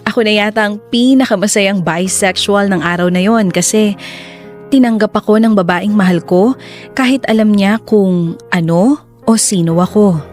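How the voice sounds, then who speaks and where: A woman reading a scripted first-person story as the narrator of a radio drama. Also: medium pitch. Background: soft music.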